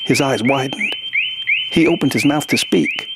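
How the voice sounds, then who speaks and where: A man narrating a scripted science fiction story in a measured, dramatic manner. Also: mid-range voice, huge pitch swings, very noisy.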